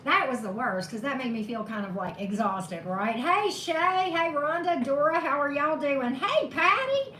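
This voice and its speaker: A woman tarot reader, slightly hoarse, chatting casually with live-stream viewers and greeting them by name. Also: high voice, moderate pace, few pauses. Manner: chatty, greets viewers by name, laughs at own corny jokes. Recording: echoey room with steady background noise.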